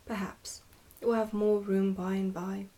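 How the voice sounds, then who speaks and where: A woman's voice: medium pitch, flat delivery, a little slow, mellow, close to an everyday voice. A woman voicing a stern, pompous goblin father in an ASMR storybook reading, scolding and commanding. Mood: dismissive.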